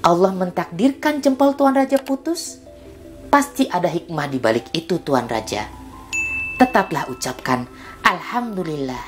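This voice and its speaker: A man voicing a loyal, pious guard who answers his king calmly and patiently. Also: high-pitched, unhurried, music in the background, pitched above the performer's own voice. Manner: calm, gently reassuring.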